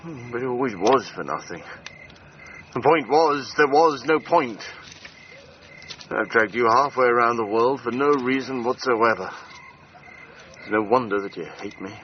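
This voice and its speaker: An actor playing a proud, moody gentleman adventurer in a radio drama. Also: medium pitch, unhurried, pauses often, natural voice. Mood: weary, despairing. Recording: dull sound with faint background noise.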